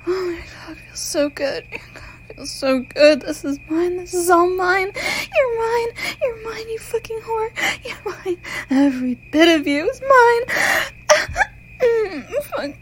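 A woman's voice: extremely high pitch, expressive, slow pace, exaggerated voice. A woman playing a sadistic, possessive killer in explicit erotic audio roleplay, whispering breathily as she taunts and commands her captive lover. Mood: possessive, aroused.

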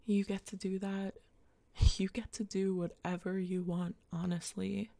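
A young adult woman in an intimate roleplay, speaking to the listener in a soft, teasing, coaxing way with frequent whispers. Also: medium pitch, flat delivery, measured pace, creaky, no room sound. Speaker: audio roleplay performer.

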